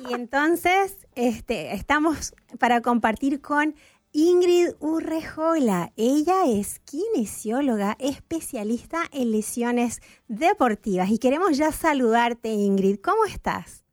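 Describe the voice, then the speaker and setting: A woman's voice: high voice, expressive, slow, no room sound, studio quality. A woman from Chile hosting a radio health show, chatty and full of fillers and restarts.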